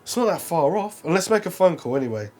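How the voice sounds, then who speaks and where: A man's voice: on the high side, very animated, fast, a little mellow. A young man reviewing earphones in a casual, rambling way, full of fillers and restarts. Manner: rambling, self-correcting, lots of fillers. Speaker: product reviewer.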